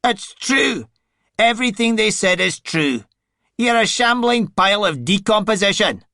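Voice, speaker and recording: A man's voice: high voice, expressive, unhurried, sharp tone, no room sound, clean studio sound, voice pushed up, exaggerated voice. A man voicing a brutish male sorcerer thug who taunts and threatens in a contemptuous tone. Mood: scornful.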